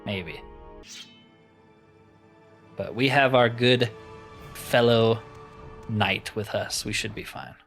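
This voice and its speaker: A man's voice: medium pitch, steady tone, takes it slowly, fairly bright tone, soft background music. Casual man narrating his gameplay. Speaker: gaming video host. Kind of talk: casual game playthrough commentary.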